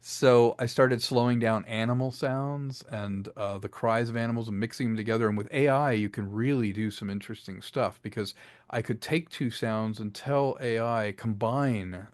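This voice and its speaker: A man's voice: mid-range voice, normal speed, darkish tone, dry recording, clean studio sound. A man giving a casual interview as a guest, explaining his audio production work with enthusiasm. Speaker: interview guest, audio drama creator and actor.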